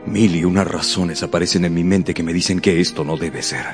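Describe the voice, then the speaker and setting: A reserved, proud gentleman in a radio romance. His voice is very deep, and his speech is formal, awkward and halting. Faint music plays behind him. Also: steady tone. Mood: tormented, ardent. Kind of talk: radio drama acting.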